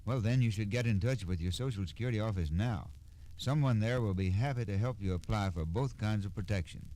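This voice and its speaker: 60s radio spokesman guy, mellow low voice, formal scripted explaining. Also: a little fast. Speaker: Social Security spokesman guest. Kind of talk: scripted 1960s radio announcement.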